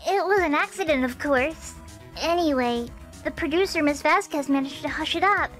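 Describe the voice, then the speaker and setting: A woman voicing an earnest, expressive young woman in an amateur fan dub of a mystery game. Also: high-pitched, normal speed, soft background music. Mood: confiding.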